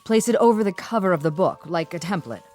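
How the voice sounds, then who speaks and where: An actress plays a modest female research assistant in a radio drama. Her voice is fairly low, eager and a little hesitant, over music. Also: expressive, fast, darkish tone, natural voice. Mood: earnest, modest.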